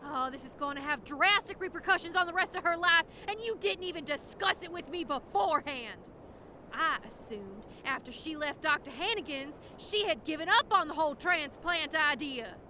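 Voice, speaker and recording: A woman's voice: high-pitched, animated, moderate pace, pushed and bright, a little hiss or hum. A woman playing an exasperated, protective spaceship crew member in an audio drama, speaking emphatically. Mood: appalled, exasperated.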